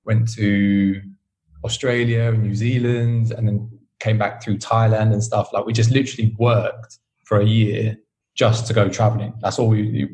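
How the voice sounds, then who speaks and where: British man, low mellow voice, easygoing rambling chat guest. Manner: relaxed, rambling, many fillers. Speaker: podcast host, interview guest. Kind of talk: casual chat.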